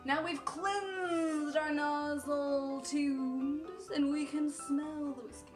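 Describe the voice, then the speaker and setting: Woman playing a high, drawn-out pretentious whiskey snob, sighing and dreamy, echoey room. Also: steady tone, over music. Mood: affected. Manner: slow, breathy, over-sighing delivery.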